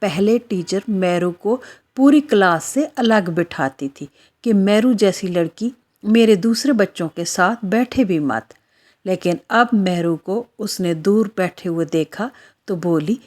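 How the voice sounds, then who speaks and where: A woman's voice: mid-range voice, a little slow, darkish tone. A woman narrating a scripted children's moral story as the storyteller.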